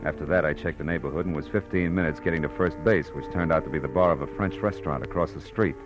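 A tough, wry American private eye from a vintage radio play. His voice is deep and dryly sardonic, in clipped hardboiled style. Music plays clearly behind him. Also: fast, muffled recording. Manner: dry, sardonic. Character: wry, tough, wisecracking male private detective. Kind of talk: hardboiled 1940s radio narration and dialogue.

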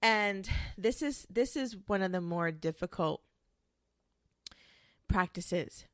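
A woman's voice: mid-range voice, animated, takes it slowly, dry recording, clean studio sound. A young woman with a congested, nasal voice from a cold, giving frank, chatty advice as a podcast host and swearing casually.

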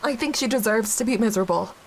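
A woman acting a grieving, guilt-ridden young widowed mother in an audio drama, halting and emotionally raw. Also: on the high side, lightly acted, low-quality recording. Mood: bitter, angry. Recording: clear background hiss.

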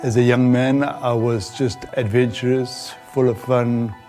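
A man's voice: mid-range voice, fairly even intonation. Older Southern African man over music. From Zambia. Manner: halting, reflective. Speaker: guest giving a testimony. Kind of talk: personal testimony storytelling.

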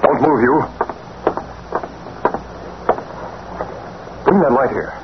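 An actor playing a decisive, cool-headed crime-fighting newspaperman in a 1940s radio drama, with a brisk, commanding delivery. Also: mid-range voice, lightly acted. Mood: urgent, alarmed. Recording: muffled, very noisy old radio recording.

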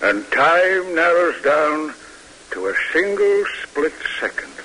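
An actor playing a gruff but fond army colonel and father in a 1950s radio drama, speaking in a halting, old-fashioned, formal way. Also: fairly high pitch, very animated, sharp tone, heavily acted, poor audio. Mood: wistful, musing. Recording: hissy vintage radio recording.

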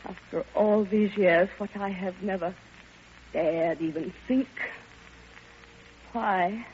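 Old radio drama: lovelorn older woman played by an actress, impassioned and halting, very unhurried, muffled vintage recording with music. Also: medium pitch, a little mellow, slight background noise, natural voice. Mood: grieving longing. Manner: impassioned, theatrical, halting delivery. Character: grieving, lovelorn old woman pouring out her heart. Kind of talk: classic radio drama monologue.

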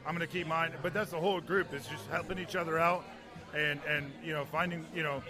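A man's voice: a little high, steady tone, fairly quick, music in the background. A man from the United States being interviewed in a bar, telling stories in a casual, conversational way. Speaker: interview guest, toy collector. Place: bar in New York. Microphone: handheld recorder.

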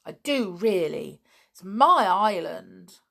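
A woman's voice: lowish voice, lively intonation, unhurried, gravelly, heavily acted. A woman voicing a proud, emphatic tomboy girl in a storybook reading. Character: bold, proud tomboy girl who owns an island.

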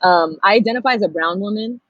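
A woman's voice: mid-range voice, fast talker, fairly bright tone, no room sound, muffled recording. A woman, candidly and reflectively telling her own story in casual talk. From Pasadena, California, United States. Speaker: podcast guest, storyteller and activist.

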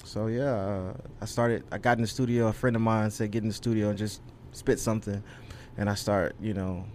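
Low-voiced young man, earnest and rambling. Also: steady tone, a little fast, a little mellow, slight background noise. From California, USA. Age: young adult. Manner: earnest, rambling, many ums and you knows. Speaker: rapper, guest. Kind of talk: casual interview chat.

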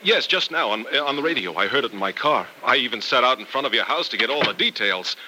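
An actor playing a concerned, steady male friend bringing news in an old-time radio soap opera, on a noisy vintage recording. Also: medium pitch, fast talker. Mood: urgent, excited.

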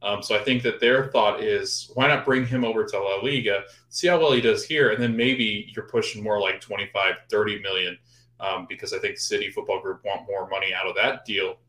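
A man's voice: on the low side, steady tone, brisk, fairly bright tone. A man co-hosting a podcast, giving his take on soccer in an easygoing way, thinking aloud with fillers. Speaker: podcast co-host. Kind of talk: casual soccer chat. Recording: echoey room.

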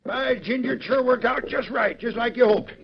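Folksy high-pitched old-timer. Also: male voice, moderate pace. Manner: folksy drawl with rustic exclamations. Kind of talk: 1930s radio drama acting. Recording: dull lo-fi old radio recording, faint hiss.

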